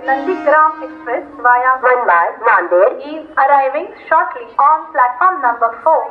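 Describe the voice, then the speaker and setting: High-pitched Indian woman announcing over echoey station speakers. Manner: formal, measured, word-by-word delivery. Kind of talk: formulaic station announcement, repeated in several languages. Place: railway station, over loudspeakers. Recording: echoey, tinny public-address loudspeaker.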